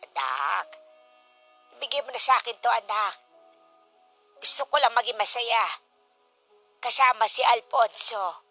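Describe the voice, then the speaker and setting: High, bright pleading older mother. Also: lively intonation, unhurried, pauses often, soft background music, exaggerated voice. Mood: tender, pleading. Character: loving older widowed mother, pleading to remarry. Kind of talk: Tagalog radio drama acting.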